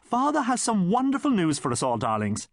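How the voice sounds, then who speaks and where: Audiobook mother, played by a man: affected, stagey and gushing, pitched high, very animated. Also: a little fast, no echo, studio quality, heavily acted. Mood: grandly pleased. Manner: affected, dramatic, fond of calling people darling. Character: gushing, theatrical, posh-minded mother of two boys.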